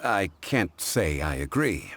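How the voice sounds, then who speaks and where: A man voicing a calm, courteous, scholarly gentleman in a video game, speaking formally and in a measured way. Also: low voice, exaggerated voice. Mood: calmly reasoning. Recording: music behind the voice. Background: game music.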